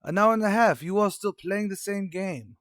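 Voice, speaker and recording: A man acts an elderly father in a radio soap. His voice is rather high for a man, gentle and weary. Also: moderate pace, natural voice. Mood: mildly puzzled. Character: tired, gentle old immigrant father. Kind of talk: radio soap drama acting.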